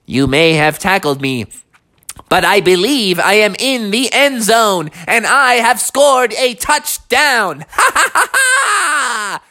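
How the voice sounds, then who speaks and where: A man voicing a slow three-toed sloth in a children's story, dramatic and self-important. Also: sits high, lively intonation, sharp tone, voice pushed up, heavily acted. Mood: triumphant.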